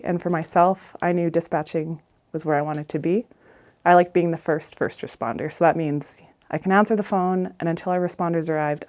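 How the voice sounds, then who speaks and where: A woman's voice: deep voice, darkish tone. A middle-aged woman with a Canadian accent giving an informal, plain-spoken career talk as an emergency dispatcher.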